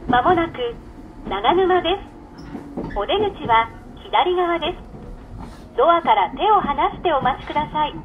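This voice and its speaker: A woman's voice: expressive, a little slow, long pauses, narrow, tinny audio. A woman giving scripted, polite and formal onboard train announcements. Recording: very noisy recording inside moving train. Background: train rumble and noise.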